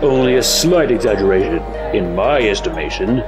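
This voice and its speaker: A man voicing a suave, courteous stallion in an animated series, speaking in a courtly, flirtatious way. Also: on the low side, moderate pace, close to an everyday voice. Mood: charming. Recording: music behind the voice.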